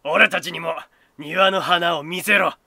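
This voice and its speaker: Man doing a loud, forceful, bullying ruffian. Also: a little high, fairly even intonation, a little slow, dry recording, full character voice. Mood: menacing. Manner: rough, barking, threatening. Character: rude, violent male ruffian, bullying and loud.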